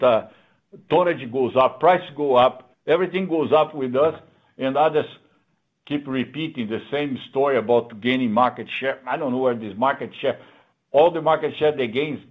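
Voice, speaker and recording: A man speaking as a company executive, answering questions off the cuff on a conference call, repeating words haltingly yet sounding emphatic and assured. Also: mid-range voice. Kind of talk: unscripted earnings call answers. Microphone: conference call line. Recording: narrow, tinny phone-line sound.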